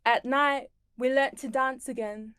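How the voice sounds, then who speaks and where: Woman poet from the torres strait and tonga, reads real slow, kinda high voice. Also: steady tone, fairly bright tone, smooth, no echo, clean studio sound. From Torres Strait Islands and Tonga. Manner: slow, measured and deliberate. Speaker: performing poet. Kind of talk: spoken word poetry performance.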